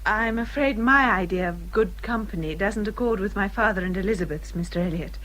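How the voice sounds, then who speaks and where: A woman acts a proud, thoughtful young English gentlewoman in a period radio drama. She speaks formally and with reserve in a medium-pitched voice, over faint noise. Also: brisk, natural voice, low-quality recording. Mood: earnest.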